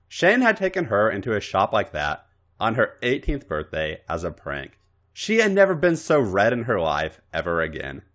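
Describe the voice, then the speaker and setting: A man's voice: lowish voice, fairly bright tone. A man narrating fan fiction for a podcast in a dramatic, comic storytelling style.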